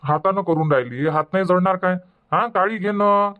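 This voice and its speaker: A higher-pitched village farmer husband, voiced by a man in a cartoon comedy. He grumbles and gives orders. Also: moderate pace, phone-quality sound, natural voice. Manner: grumbling, bossy, defensive.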